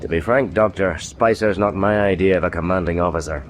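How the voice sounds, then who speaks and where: An actor playing a sceptical, plain-spoken British junior naval officer with a blunt, wry manner. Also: male voice, deep voice, brisk, a little hiss or hum, lightly acted. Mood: exasperated. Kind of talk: period audio drama acting.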